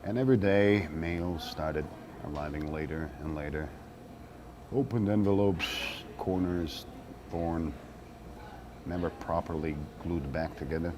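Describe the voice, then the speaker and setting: A man acting a theatrical monologue as a wry former activist, speaking deliberately with dramatic pauses. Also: low voice, noisy background. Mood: wry, measured. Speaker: actor reading a monologue.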